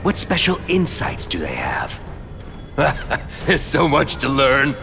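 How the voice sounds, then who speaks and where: Gleeful psychotic killer, gravelly, lively pitch, game cutscene, loud score behind. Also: male voice, takes it slowly, full character voice. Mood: taunting. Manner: mocking, menacing, swings from whispers to shouts. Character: psychotic male serial killer, taunting and gleeful. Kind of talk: video game cutscene acting. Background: loud music.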